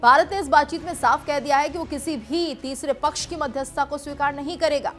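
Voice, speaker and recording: High-pitched woman reading news over music. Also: a little fast. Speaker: news presenter. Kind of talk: scripted Hindi TV news narration.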